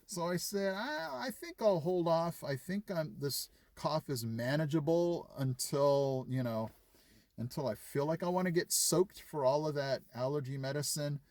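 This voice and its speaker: A man's voice: on the high side, lively intonation, measured pace, a little mellow, dry recording. A man chatting casually to his viewers, retelling conversations with lots of fillers. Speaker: vlogger. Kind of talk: casual chat to camera.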